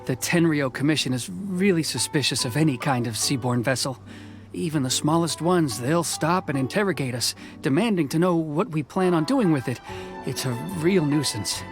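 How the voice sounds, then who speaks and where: A man's voice: a little high, normal speed, lightly acted. An actor playing a nervous, cautious young man in a video game who hesitates and stammers politely. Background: game background music.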